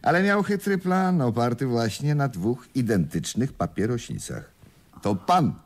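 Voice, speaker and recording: A man acting a shrewd, self-assured older police inspector in a Polish radio drama, gravelly and theatrically knowing. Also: sing-song, measured pace. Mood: triumphant explaining. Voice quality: gravelly, very deep. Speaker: actor in a radio play.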